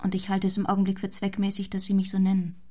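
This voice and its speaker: An actress playing a guarded, proud young governess in a German radio play, speaking in a reserved, formal and composed manner. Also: female voice, medium pitch, completely flat delivery, fast talker, mellow, lightly acted. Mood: resolute, reserved.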